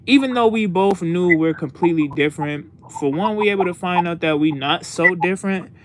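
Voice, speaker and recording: An American man with a slightly high voice talks casually as a podcast co-host. He rambles earnestly over an online call with faint noise behind him. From United States.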